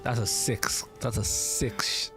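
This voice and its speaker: A woman's voice: a bass voice, steady tone, slow pace. A woman livestreaming a game, giving casual, informal, reactive commentary. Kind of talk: casual live commentary over gameplay. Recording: game music behind the voice.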